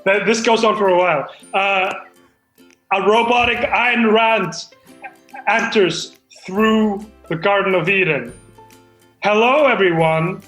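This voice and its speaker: A man in a comedic table read, halting and self-important, defensively presenting his screenplay and reading stage directions. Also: high voice, a bit bright. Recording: roomy echo, music behind.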